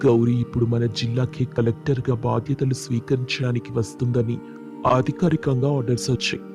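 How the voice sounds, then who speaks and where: A man's voice: mid-range voice, flat delivery, a little fast, over music, natural voice. A man playing a proud villager making an admiring announcement in a moral story. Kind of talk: dramatised moral story acting.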